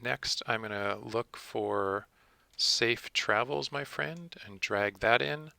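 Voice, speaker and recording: A man narrating a step-by-step software tutorial in a methodical, instructional manner. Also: mid-range voice, slow, vocal fry, no room sound.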